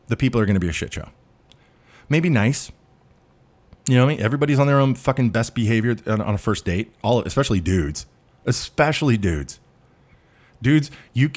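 Blunt, profane man ranting about dating. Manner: blunt, profane, swings between loud and low. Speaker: dating commentator. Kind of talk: ranting monologue.

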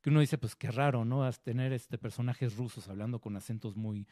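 A man's voice: medium pitch, brisk, warm tone, no echo. A man co-hosting a studio show, chatting about a film and acting out small quotes. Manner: conversational, acts out quotes. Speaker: co-host film critic. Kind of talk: casual film review chat.

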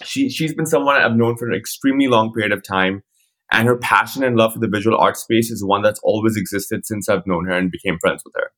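A man's voice: lowish voice, a bit bright. A man in his twenties co-hosting a podcast in a conversational interviewing style, wordy and often repeating his phrases. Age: 20s. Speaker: podcast co-host.

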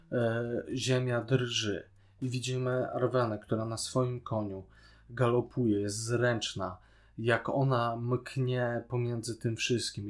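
A man co-hosting a podcast in casual conversation, explaining his opinions and asking questions. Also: mid-range voice. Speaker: podcast co-host. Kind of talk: casual chat, film and series discussion.

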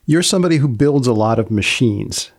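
A man hosting a podcast interview in a deadpan, probing way, asking questions conversationally. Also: mid-range voice, a little fast, no room sound, studio quality. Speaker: podcast host.

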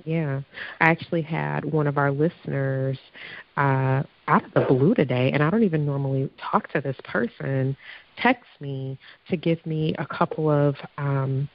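A woman hosting a podcast in a casual, chatty, upbeat way, her voice hoarse from allergies. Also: sits low, dull audio. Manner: chatty, upbeat, quick to laugh. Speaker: podcast host. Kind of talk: casual chat.

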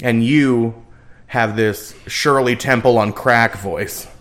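A man in the middle of his range co-hosting a casual true-crime podcast. He teases his partner playfully and breaks into laughter often. His pitch sits right at the average. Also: slow pace, a bit bright. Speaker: podcast co-host. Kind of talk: casual banter about a true-crime case.

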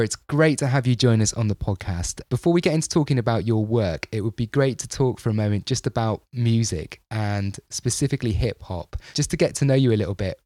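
Low-voiced male podcast host. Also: darkish tone, dry recording, studio quality. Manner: chatty, with asides and restarts. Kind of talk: casual podcast talk.

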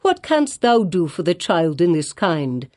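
A woman's voice: fairly low pitch, sing-song, a little slow, darkish tone. A woman voicing a stern, pompous elderly male colonial governor in archaic speech.

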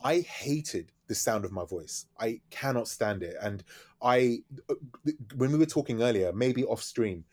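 A man, a DJ and radio host talking quickly and eagerly as a podcast guest. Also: mid-range voice. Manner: fast-talking, animated storytelling. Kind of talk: casual interview chat.

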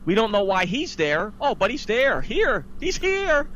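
A man's voice: sits high, huge pitch swings, a little slow, muffled recording. A man hosting a casual podcast, giving an exasperated, opinionated recap of films in a ranting style. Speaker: podcast host. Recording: clear background noise.

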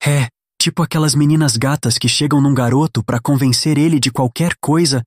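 A man's voice: mid-range voice, a little fast, husky, no echo, clean studio sound, natural voice. A man with a Brazilian accent playing a cocky, popular teenage athlete in an audio drama, cool and offhand. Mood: cocky, casual.

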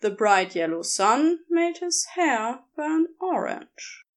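A woman reading scripted fiction aloud as a narrator, with an expressive storytelling delivery. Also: high-pitched, takes it slowly. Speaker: podfic narrator.

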